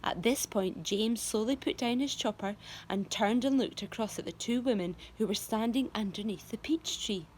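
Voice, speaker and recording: A woman, a teacher, reading a children's book aloud with expressive, storybook delivery and hushed moments. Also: on the high side, moderate pace, a little hiss or hum.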